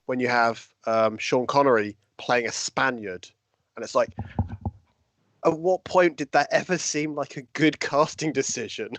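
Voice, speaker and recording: Male co-host, wry and self-deprecating; even mid voice, dry recording. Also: medium pitch, a little slow, no echo. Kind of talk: casual live-stream chat.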